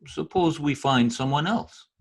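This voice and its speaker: Man playing a husky, scheming political adviser. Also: fairly high pitch, measured pace. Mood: suggestive, coaxing. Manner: deferential, persuasive, wordy. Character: smooth-talking, scheming political adviser to a dictator. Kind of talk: old-time radio comedy acting. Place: home room, recorded over video call.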